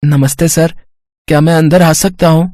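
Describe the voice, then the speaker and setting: Eager, respectful schoolboy for a moral tale, acted by a woman, dark-toned and dry. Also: low-pitched, steady tone, moderate pace, dry recording, natural voice. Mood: polite. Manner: earnest, respectful. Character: eager, polite poor schoolboy devoted to his studies.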